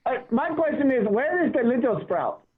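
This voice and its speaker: A man playing a cheeky, deadpan man from Baghdad who speaks mock broken English, on a phone line. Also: very high voice, moderate pace, breathy edge, heavily acted. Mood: joking. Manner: deadpan joking, broken phrasing.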